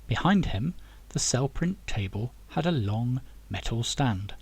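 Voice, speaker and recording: A man reads a story aloud in an audiobook style. His voice is husky and gravelly, and his pitch moves around in a lively way as he narrates. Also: a little slow. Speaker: author reading own novel.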